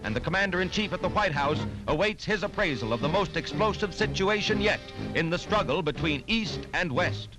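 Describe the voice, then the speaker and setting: A man's voice: sits high, lively intonation, brisk, few pauses, rough recording. An American man narrating a scripted 1940s newsreel in an urgent, emphatic, declamatory manner. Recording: 1940s newsreel soundtrack with music. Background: orchestral music.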